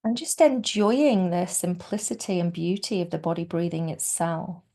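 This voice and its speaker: A woman leading a guided yoga nidra meditation in a soft, soothing, unhurried way, often trailing off into a breathy near-whisper. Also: on the low side. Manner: soft, soothing, unhurried delivery with gentle pauses.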